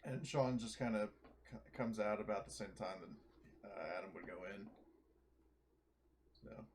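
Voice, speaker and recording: A man playing a tabletop roleplaying game, talking casually in a halting, conversational way. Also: on the low side, noisy background.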